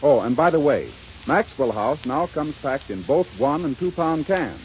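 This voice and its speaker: Animated male 1930s radio announcer. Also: on the high side, moderate pace, faint music behind, low-quality recording. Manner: polished, emphatic salesmanship.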